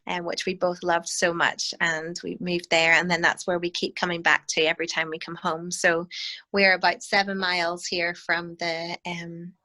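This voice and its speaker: A woman's voice: fairly low pitch, little pitch movement, moderate pace, bright, forceful tone. A Northern Irish woman in her thirties, a hymn writer talking through an interview over a video call, thoughtful with many restarts. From Northern Ireland.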